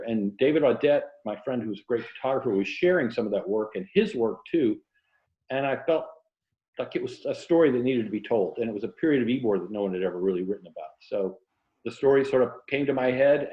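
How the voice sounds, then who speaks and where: A mature man with a mid-pitched voice speaks in a casual, reflective storytelling way as an interview guest. The sound is roomy and slightly dull, like a lo-fi call. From Tampa, Florida.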